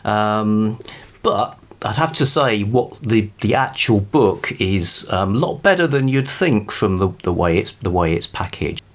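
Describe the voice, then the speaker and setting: A British man rambling informally about books to camera in a halting, thoughtful, wryly amused way. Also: on the low side, animated. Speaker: book review vlogger.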